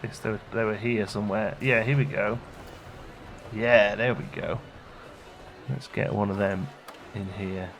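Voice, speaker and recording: A man giving casual, low-key gameplay commentary as a video host, thinking aloud and muttering to himself as he works. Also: steady tone, unhurried, gravelly. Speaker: let's play video host.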